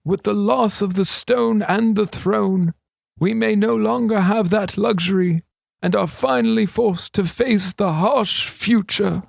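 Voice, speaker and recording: A man voicing an old male wizard regent who is formal, paternal and dryly playful, speaking slowly and deliberately. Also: high voice, vocal fry, dry recording, studio quality, pitched above the performer's own voice, exaggerated voice. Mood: grave. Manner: slow, deliberate, grandly formal. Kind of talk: audiobook character voice.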